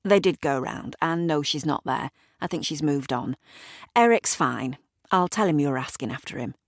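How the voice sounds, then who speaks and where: Woman playing a brisk guarded neighbour, hurried, evasive, lowish voice. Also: animated, dry recording, studio quality.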